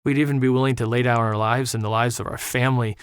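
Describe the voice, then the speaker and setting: A man's voice: mid-range voice, steady tone, fast, clean tone, dry recording. Middle-aged missionary guy, fired up and preachy, repeats himself to hammer a point, dry close mic. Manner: passionate, preacherly, repeats words when pushing a point. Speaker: missionary guest. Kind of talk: earnest podcast conversation.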